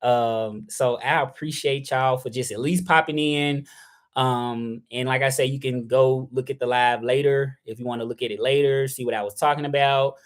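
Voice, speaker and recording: A man's voice: medium pitch, fairly even intonation, normal speed. A young man with a Memphis Southern accent, chatting loosely to his livestream audience. He rambles and restarts his sentences, leaning on "like" and "you know" while he talks about his freelance work. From Memphis, Tennessee, US South. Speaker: freelance interpreter hosting a livestream. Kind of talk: casual livestream talk.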